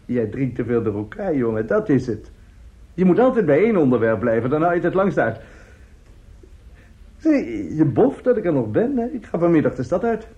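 A chatty older newspaperman in a radio drama, fatherly and pleased with himself. His voice sits fairly high yet is soft and mellow. The recording is slightly muffled, like an old broadcast. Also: sing-song, fairly quick. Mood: jovial, hearty. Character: jovial, avuncular older newspaperman, chatty and self-satisfied. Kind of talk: Dutch radio play acting.

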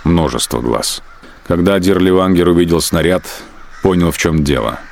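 A man narrating a literary radio play in a measured, grave manner. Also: low-pitched, creaky, slight background noise.

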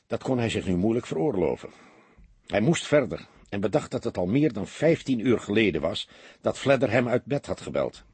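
A man narrating a crime audiobook in an expressive, scripted storytelling style. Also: on the low side, husky, no room sound.

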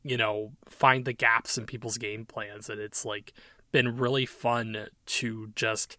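A man's voice: lowish voice, little pitch movement, slow, sharp tone, dry recording, studio quality. A man co-hosting a gaming podcast, talking in a rambling, enthusiastic way with lots of fillers. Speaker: podcast co-host. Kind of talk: casual gaming podcast chat.

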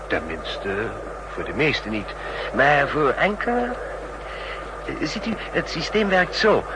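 A man's voice: on the high side, huge pitch swings, takes it slowly, exaggerated voice. An actor playing a chatty, smug male train passenger with an insinuating, relishing manner, in a 1960s Dutch radio drama. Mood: knowing, insinuating. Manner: insinuating, relishing, with probing questions. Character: chatty, smug train passenger, spy-book know-it-all, slyly menacing. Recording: noisy old 1960s radio drama recording.

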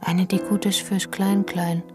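An actress playing a bitter, defiant activist journalist in a radio drama, speaking in a deliberate, biting way. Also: female voice, medium pitch, little pitch movement, lightly acted. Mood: bitter, sarcastic. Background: piano and keyboard music.

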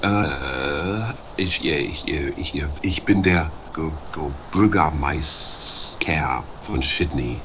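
A man playing a timid, defeated mayor in an improvised comedy radio play, halting and stammering as he talks. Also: very deep voice, a bit bright, heavily acted. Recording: faint noise, amateur 1980s radio play.

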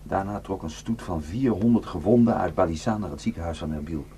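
A desperate, guilt-ridden defector in a radio drama. His voice sits fairly low and moves between halting confession and bitter, intense warning. A faint hum and noise sit behind him. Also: dark tone. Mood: grave, intense. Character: haunted, guilt-ridden Kurdish male defector, desperate to warn villagers. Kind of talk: Dutch radio drama acting. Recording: noisy radio play recording with hum.

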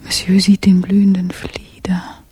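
An actress in a German radio play playing a secretive, watchful young woman who whispers breathy, hushed observations in short phrases. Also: mid-range voice, monotone, measured pace.

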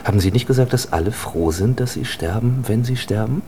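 Radio drama part: man playing an awkward, reserved male, low voice, terse and blunt, faint ambience behind. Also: a little fast, dark tone, a little hiss or hum, close to an everyday voice. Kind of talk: German radio drama acting.

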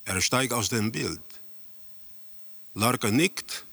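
A man playing an impassive narrator in a radio drama, reporting a surreal tale in deliberate, terse phrases. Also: mid-range voice, lively intonation, creaky, a bit bright, slightly rough, no room sound, exaggerated voice.

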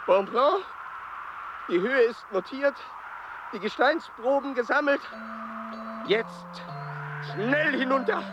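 High-voiced, halting exhausted explorer. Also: male voice, highs cut off, background noise. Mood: excited, urgent. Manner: halting, strained. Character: exhausted, pedantic explorer struggling at high altitude. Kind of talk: radio play acting.

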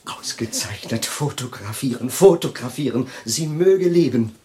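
Agitated eccentric chemist, slightly husky. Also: male voice, medium pitch, lively intonation, unhurried, warm tone. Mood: eager, abrupt. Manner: abrupt, exclamatory, ironic, swinging into rants. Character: eccentric male chemist, agitated, brooding, prophesying war. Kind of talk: German radio play acting.

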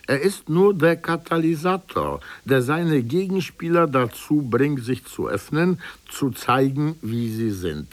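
A man's voice: medium pitch, no room sound. A man reading a prepared literary commentary aloud in an emphatic, rhetorical way. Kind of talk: prepared essay read aloud.

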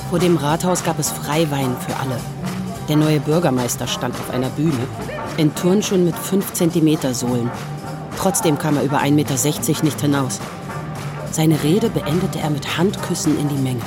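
A woman's voice: low-pitched, close to an everyday voice. Actress as wry melancholy woman in her forties, dry delivery, audio drama, music behind her. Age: middle-aged. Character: melancholy, wry woman writer around forty. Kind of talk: literary first-person narration and acted dialogue in an audio drama.

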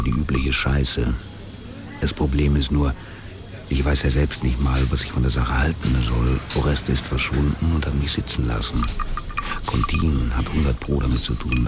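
A man's voice: very deep voice, steady tone, normal speed, creaky, over music. An actor playing a coarse, cynical, ailing small-time crook, telling his story in a crime radio play. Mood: contemptuous, then anxious, bitter. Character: bitter, foul-mouthed, ailing small-time crook hunted by police. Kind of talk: first-person narration in a crime radio play.

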